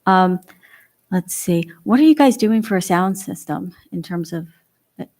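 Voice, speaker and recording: A woman's voice: fairly low pitch, animated, a little slow, a little mellow. A woman on a town board asking questions at a public meeting in a hesitant, inquisitive way with many fillers.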